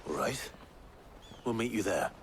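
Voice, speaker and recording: A steady, brooding fantasy adventurer. His voice is deep, calm and low-key, quietly reassuring. Noticeable background noise surrounds it. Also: unhurried. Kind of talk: video game acting.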